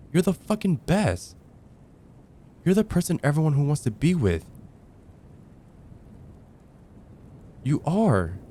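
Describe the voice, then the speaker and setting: A man playing a lovestruck young heartbreaker friend in an ASMR roleplay, speaking in an earnest, halting, stammering way. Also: a little high, warm tone, a little hiss or hum. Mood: exasperated, adoring. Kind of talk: ASMR roleplay, intimate dramatic monologue.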